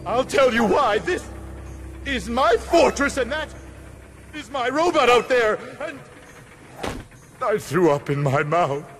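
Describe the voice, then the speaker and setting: An actor playing a pompous, petulant mad-scientist uncle in a cartoon video game, ranting with theatrical, over-enunciated delivery. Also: male voice, very high-pitched, sing-song, slow pace, long pauses, full character voice. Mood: indignant, seething.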